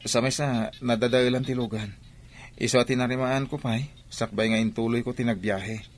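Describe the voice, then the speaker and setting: An actor playing an evasive young two-timing lover in a radio drama, with an Ilocano accent. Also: male voice, mid-range voice, fairly even intonation, moderate pace, natural voice. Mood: evasive, flustered. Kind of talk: Filipino radio drama acting.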